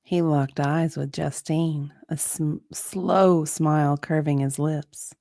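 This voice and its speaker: A woman's voice: deep voice, steady tone, slow, gravelly, mellow, no room sound, clean studio sound. A woman narrating a romance story as a dramatic reading, in a sultry, suspenseful manner.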